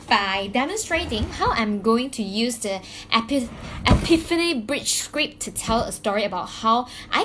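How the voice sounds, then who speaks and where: A woman from Malaysia speaks with a Malaysian accent in a fairly high voice, her first language being Mandarin. She tells a personal story in a loose, chatty way, full of "um"s and restarts, with a faint hiss of noise behind her. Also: fairly bright tone. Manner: chatty, many fillers and restarts. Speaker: vlogger and coach.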